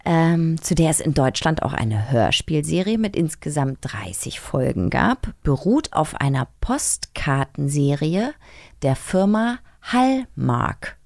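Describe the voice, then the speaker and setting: A woman's voice: sits low, big pitch swings, slow pace, vocal fry, darkish tone, no room sound, studio quality. A woman chatting casually and teasingly while moderating a quiz game.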